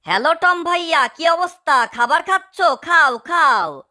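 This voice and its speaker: A woman's voice: big pitch swings, measured pace, exaggerated voice. A woman voicing a small, hungry cartoon mouse, self-pitying and indignant, in a melodramatic comedy dub. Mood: taunting. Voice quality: high, bright. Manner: plaintive, melodramatic, scolding. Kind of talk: fan-dubbed cartoon comedy.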